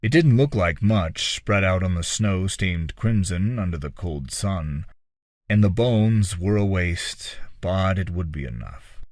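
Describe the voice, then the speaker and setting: A man reading a dark fantasy story as an audiobook-style narrator, measured and dramatic, with deliberate pauses and phrases that trail off. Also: deep voice, animated, no echo, studio quality.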